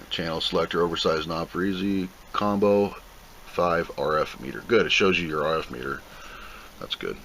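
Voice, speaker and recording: A man's voice: low-pitched, fairly bright tone, low-quality recording. A man giving a casual, plain-spoken unboxing commentary, rambling and thinking aloud as he goes. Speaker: video host reviewing a product. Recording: clear background noise.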